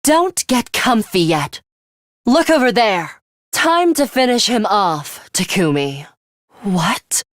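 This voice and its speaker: A woman playing a stern, ruthless young female fighter in a video game, speaking in a terse, measured and commanding manner. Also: medium pitch, sing-song, no echo, studio quality. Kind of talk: scripted video game voice acting.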